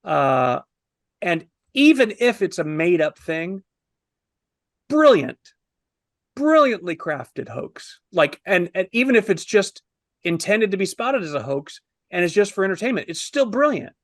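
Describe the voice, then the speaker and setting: A man in his fifties with a fairly high voice that crackles with fry. He talks casually and admiringly as a panelist reviewing a film, and the sound is close and dry. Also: sing-song, measured pace, dry recording. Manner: enthusiastic, admiring. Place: indoors, on a video call.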